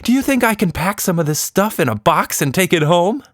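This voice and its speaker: Man voicing an eager, higher-pitched young girl. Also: animated, fairly quick, no echo, studio quality. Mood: greedy, pleading. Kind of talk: children's storybook character voice.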